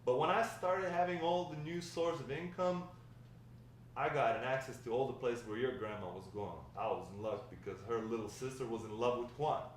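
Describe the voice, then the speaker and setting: A man's voice: medium pitch, moderate pace, natural voice. Echoey, distant man reading as a reminiscing grandfather. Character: grandfather fondly recounting his wild, lovestruck youth. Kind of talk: table read of a dramatic script. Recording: echoey room, distant mic, faint noise.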